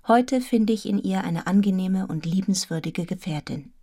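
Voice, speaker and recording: An actress playing a devoted, composed young wife in a radio drama, speaking in a measured, intimate, reflective way. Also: female voice, medium pitch, dark tone, lightly acted. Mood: contented, reflective. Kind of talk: literary radio drama, first-person narration and dialogue.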